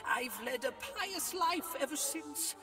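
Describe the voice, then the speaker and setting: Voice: high-pitched, lively intonation, takes it slowly. An actor voicing a defensive, pious spirit of a dead pilgrim in a video game, protesting in a wounded manner. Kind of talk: dramatic video game voice acting. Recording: distant reverberant game audio, loud music.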